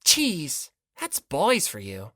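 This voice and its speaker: A man's voice: a little high, huge pitch swings, slow, pushed and bright, no room sound, studio quality, full character voice. A man voicing an exasperated, scolding teenage girl in an audiobook. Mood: shocked, scolding.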